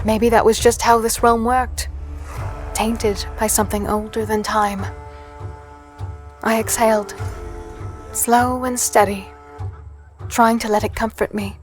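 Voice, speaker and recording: A woman's voice: a little high, flat delivery, takes it slowly, pauses often, faint music behind. A woman playing an earnest human heroine, narrating her story in the first person with dramatic intensity for a fantasy audio drama. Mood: uneasy, reflective.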